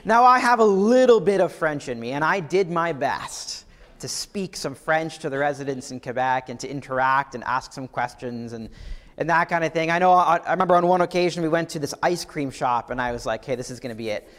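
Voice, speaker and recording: Canadian guy preaching, kinda high voice, big echoey hall w long reverb, repeats stuff for emphasis. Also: a little fast, fairly bright tone. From Ontario, Canada. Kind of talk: sermon.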